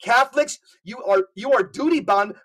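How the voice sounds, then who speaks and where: A man's voice: very high-pitched, moderate pace, fairly bright tone. A man speaking as a podcast guest, telling stories and urging listeners in an emphatic, preacherly way, often repeating his words. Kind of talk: animated storytelling and exhortation.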